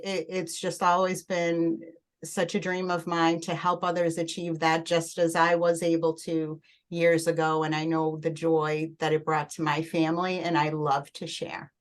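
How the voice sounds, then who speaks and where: A middle-aged woman with a Portuguese accent, a mortgage loan originator introducing herself on a podcast in an earnest way, with many halting restarts. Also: lowish voice, flat delivery.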